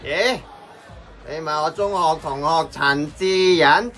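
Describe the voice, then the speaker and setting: An actor playing a tipsy, brash young man in a Hong Kong Cantonese radio drama, loud and rambling. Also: on the high side, slow, soft background music. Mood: tipsy, boisterous. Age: young adult.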